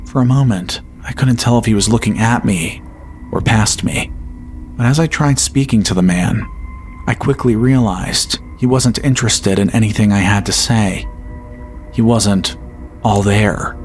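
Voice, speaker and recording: A man reading a first-person horror story as a podcast narrator, in a measured, unhurried way. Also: fairly low pitch, soft background music.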